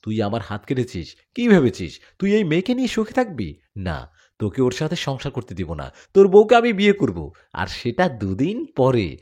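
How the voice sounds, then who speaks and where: A man's voice: medium pitch, huge pitch swings, normal speed, a little mellow. A man voicing a vengeful, mocking male jinn villain in a spoken horror story. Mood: taunting. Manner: mocking, threatening.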